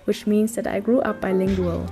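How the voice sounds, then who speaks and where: A woman's voice: mid-range voice, fairly even intonation, moderate pace. A woman in her thirties from Germany, with a German accent, talking in a casual vlog style as a street photographer. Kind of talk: casual vlog talk and narration.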